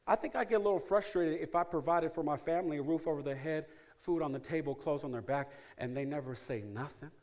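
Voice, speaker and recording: A middle-aged man preaching a sermon in a slightly raised register. He repeats his key lines for emphasis. His delivery moves between loud, rising calls to the congregation and quiet, hushed asides. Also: on the high side, fast, hardly any pauses. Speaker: pastor.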